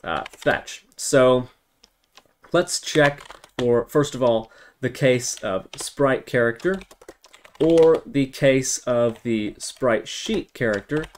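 A man's voice: mid-range voice, slow, a little hiss or hum. Man talking through a coding tutorial. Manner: step-by-step, thinking aloud while working. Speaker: tutorial instructor. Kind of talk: informal screen-recorded coding tutorial.

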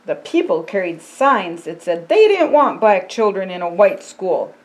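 A woman in her sixties who is a pastor, reading a picture book aloud in simple, deliberate phrases and explaining it as to a child. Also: medium pitch, sing-song, rough recording. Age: 60s. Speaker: pastor and storytime reader. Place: indoor storytime set by a fireplace.

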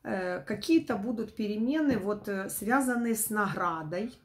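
A middle-aged woman with a not fully recovered voice, giving an informal tarot forecast to camera in a halting, rephrasing way. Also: medium pitch, animated. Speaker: tarot reader, host. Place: small room at home, indoors.

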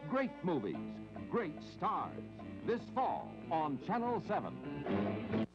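A man's voice: a little high, huge pitch swings, slow. A man announcing a 1970s television movie promo in scripted announcer style. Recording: dull vintage TV tape, loud music. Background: loud music.

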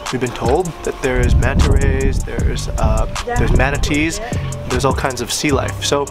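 A man in his late thirties hosting a casual travel vlog, talking to a handheld camera outdoors on a seaside cliff, animated and full of fillers. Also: mid-range voice, unhurried. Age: 30s. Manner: excitable, animated, filler-heavy. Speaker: vlog host. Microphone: action camera held at arm's length. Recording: music bed over outdoor camera audio.

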